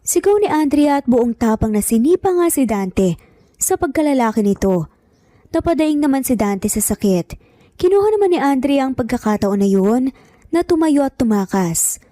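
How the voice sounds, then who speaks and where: A woman's voice: high-pitched, very animated, moderate pace, mellow, no room sound. A woman reading scripted narration for a dramatic romance story.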